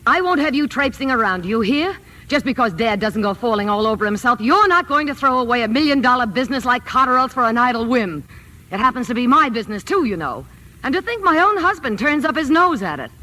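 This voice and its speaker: An actress playing a spoiled, possessive, wealthy young wife in old-time radio drama style, domineering and insistent. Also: female voice, a little high, very animated, a little fast, bright, forceful tone, heavily acted, rough recording. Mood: imperious, indignant.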